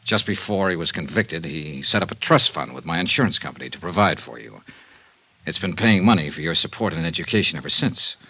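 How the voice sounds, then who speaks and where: An actor with an American accent playing a smooth, tough but tender insurance investigator in a 1950s radio drama, with measured, clipped delivery. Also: male voice, low-pitched, lively intonation, bright, forceful tone, full character voice. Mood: gentle, measured. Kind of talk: 1950s radio detective drama acting and narration. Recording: dull 1950s radio recording.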